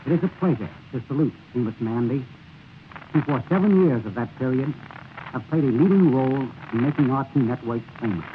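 A man giving a formal, measured prepared speech as a broadcasting executive. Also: mid-range voice. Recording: noisy, narrow-band 1930s radio broadcast.

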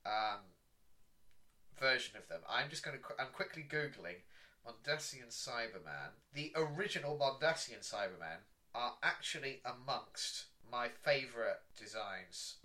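A man's voice: mid-range voice, animated, a little slow, bright, forceful tone. A man co-hosting a casual review chat, explaining things with enthusiasm. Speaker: podcast co-host.

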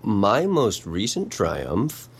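An actor playing an awkward, lonely botanist in an audio drama, earnest and stammering, with a husky voice and formal wording. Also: low voice, huge pitch swings, very slow, a little hiss or hum, heavily acted. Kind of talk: naturalistic audio drama dialogue.

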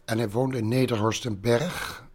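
A man's voice: medium pitch, fairly even intonation, moderate pace, breathy edge. A man casually recounting a memory in a meandering, conversational way. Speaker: actor interviewed about memories. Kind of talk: casual anecdote telling.

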